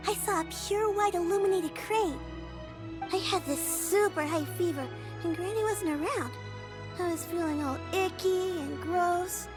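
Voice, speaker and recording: A woman voicing a shy, earnest little village girl in a video game, with a hesitant, childlike way of talking. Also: very high voice. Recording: music under the voice.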